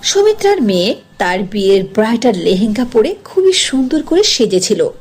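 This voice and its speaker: A woman's voice: a little high, very animated, moderate pace, a little mellow, husky. A woman narrating a scripted cartoon story as its storyteller.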